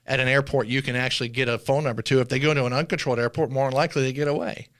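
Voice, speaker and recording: A man's voice: medium pitch, fairly even intonation, racing, a bit bright, no echo, studio quality. A man speaking informally as a former air traffic controller, telling and explaining things in an anecdotal way, often voicing bits of dialogue.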